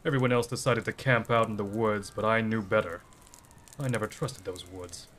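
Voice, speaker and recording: Man acting a determined, low-voiced drifter. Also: steady tone, moderate pace, fairly bright tone. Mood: easygoing. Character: determined, inquisitive drifter hero named Rick. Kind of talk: audio drama acting.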